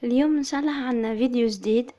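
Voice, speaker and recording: A woman with an Algerian Arabic accent who is slightly hoarse from illness, calmly explaining a recipe as a cooking-channel host. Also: fairly high pitch, racing. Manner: soft, subdued, steady explaining. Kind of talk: recipe tutorial voice-over.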